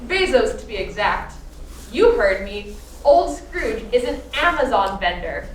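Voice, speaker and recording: Female narrator, scripted radio drama: emphatic, sardonic, high register, distant microphone with faint noise behind. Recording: echoey room, distant microphone, background noise.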